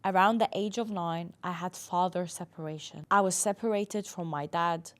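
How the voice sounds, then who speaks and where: A woman's voice: on the low side, moderate pace. A woman in her twenties, a mental health professional whose first language is not English, telling her own story in an earnest monologue and leaning hard on key words. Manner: earnest, emphatic, dwelling on words.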